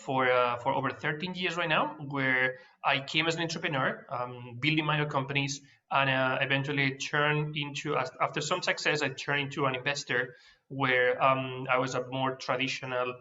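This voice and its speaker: A man with a Spanish accent gives a webinar presentation in a bright, forceful voice. He hesitates often, with many fillers and restarts. The audio is dull and lo-fi, like an online call. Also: mid-range voice, steady tone, moderate pace. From Spain. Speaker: managing director of a startup accelerator, guest speaker.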